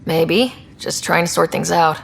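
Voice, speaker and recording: An actress playing a brisk female expedition leader in a sci-fi game. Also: lowish voice, gravelly, background noise.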